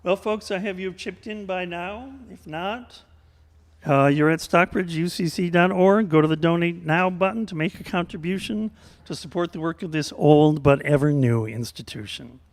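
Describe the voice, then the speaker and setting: Guy hosting a church fundraiser, folksy announcements, voice a little on the high side. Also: normal speed.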